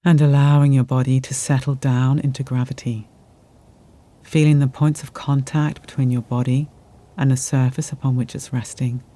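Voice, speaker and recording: A meditation guide narrating a relaxation exercise in a gentle, soothing manner with soft, spacious phrasing. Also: mid-range voice, little pitch movement, normal speed.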